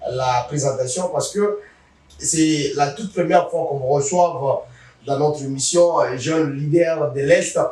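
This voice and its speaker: A man hosting a TV interview, pausing often with fillers and addressing viewers formally. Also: mid-range voice, darkish tone, recorded from a distance. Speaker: talk show host. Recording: roomy sound from off-mic.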